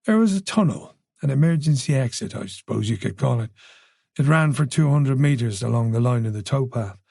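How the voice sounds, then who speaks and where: A narrator voicing a calm, protective male senior detective with an Irish accent, reasonable and soothing, in an audiobook. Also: medium pitch, brisk, vocal fry, dry recording, studio quality. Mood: grave. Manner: steady, soothing, easy and unhesitating.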